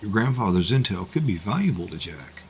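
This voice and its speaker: A man playing a calm, measured confidant who gives advice in a fantasy audio drama. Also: fairly low pitch, a little hiss or hum. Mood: calm, concerned.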